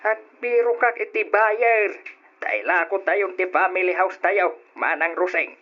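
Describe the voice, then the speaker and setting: A man playing a smooth-talking, pushy family man in an old Ilocano radio drama, on a dull recording. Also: high voice, measured pace. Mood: pushy, insistent.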